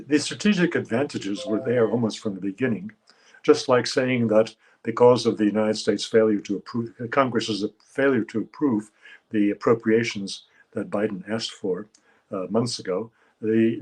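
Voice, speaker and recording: A man in his seventies, a guest analyst giving measured expert commentary, often pausing with "uh" as he searches for words. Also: fairly low pitch, a little mellow, husky, low-quality recording. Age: 70s. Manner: measured, halting, with frequent uh fillers.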